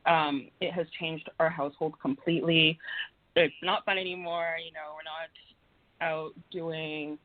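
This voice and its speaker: A woman's voice: deep voice, level tone, measured pace, dry recording. Young woman guest, earnest and heartfelt, dry phone-call audio. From Rwanda. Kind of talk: casual radio talk. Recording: dry tinny phone line.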